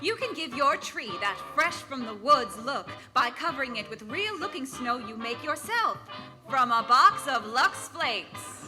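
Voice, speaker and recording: A woman's voice: high-pitched, animated, measured pace, over music, low-quality recording. An actress playing a perky spokeswoman reading a 1940s radio commercial. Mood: instructive, cheery. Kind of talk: 1940s radio ad read, scripted.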